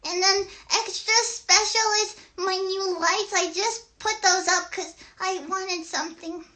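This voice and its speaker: Little girl puppet, very high bright voice, unhurried, eager, childlike, friendly, halting chatter for a kids' show. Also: steady tone, heavily acted. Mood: cheerful, chatty. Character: excited, friendly little girl puppet hosting a play date.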